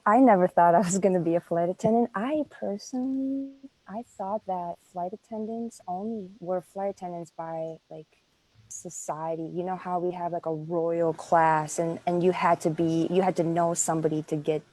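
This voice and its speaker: A woman's voice: on the low side, fairly even intonation, moderate pace, warm tone, rough recording. A woman, a native Greek speaker, talking earnestly in a casual interview, with many fillers and restarts. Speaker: interview guest.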